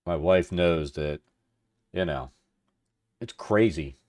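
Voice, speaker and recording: A middle-aged man with a deep radio-like voice, chatting casually and thinking aloud as he hosts a livestream for his viewers. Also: slow, darkish tone. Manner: rambling, informal, thinking aloud. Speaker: livestream host. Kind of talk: casual chat with stream viewers while working.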